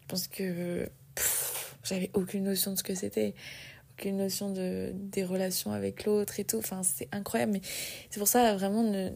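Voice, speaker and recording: A young woman in her twenties talks in a husky, gravelly voice, chatty and confiding, with plenty of fillers and restarts. Her pitch stays flat and her tone sounds dark and mellow. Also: moderate pace. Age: 20s. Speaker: podcast host. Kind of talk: casual personal monologue.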